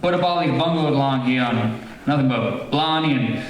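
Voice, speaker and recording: A man's voice: medium pitch, fairly quick, lightly acted. A man playing an affable, nosy, rambling police sergeant in an old-time radio play. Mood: chatty, probing. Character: affable, talkative off-duty police sergeant neighbor. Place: theatre stage. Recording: echoey theatre, distant mic.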